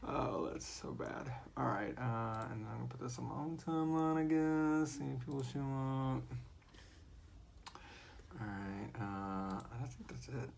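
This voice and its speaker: Gravelly, jokey man hosting a livestream. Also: medium pitch, measured pace, slight background noise. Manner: jokey, rambling, mutters while setting up. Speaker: host and co-creator of a horror audio drama. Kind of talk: casual livestream Q&A chat.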